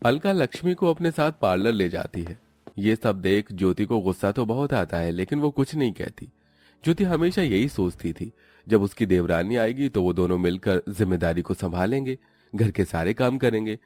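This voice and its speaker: Low-pitched male story narrator, dry sound. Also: animated, moderate pace, a little mellow, no echo.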